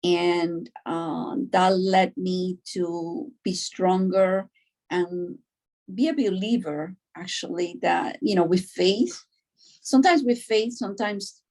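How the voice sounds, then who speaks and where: Middle-aged Cuban-born woman, halting conversational delivery. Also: on the low side, a little mellow. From Cuba, later Florida. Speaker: doctor, interview guest. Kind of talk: conversational personal account.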